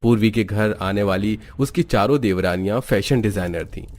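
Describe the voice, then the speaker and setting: Low-voiced man narrating a story. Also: normal speed, a little mellow. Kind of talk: scripted storybook narration for a Hindi cartoon.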